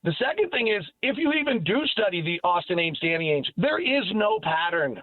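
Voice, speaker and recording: High-voiced, emphatic man on a phone line. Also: very animated, fairly quick, dry recording. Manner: emphatic, argumentative, repeats points. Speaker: sports radio commentator and guest. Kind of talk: opinionated sports talk.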